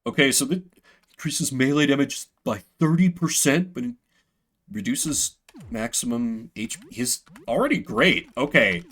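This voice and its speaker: A man doing live game commentary, excitable and casual, swearing freely and reading game text aloud. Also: mid-range voice, unhurried, breathy edge. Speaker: game streamer.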